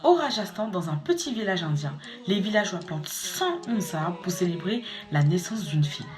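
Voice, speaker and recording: A woman's voice: lowish voice, lively intonation, moderate pace, a little mellow. A woman narrating an informative documentary script.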